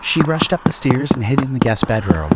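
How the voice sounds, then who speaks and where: A man narrating a scripted horror story as storyteller, measured and suspenseful. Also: mid-range voice, sing-song, very noisy.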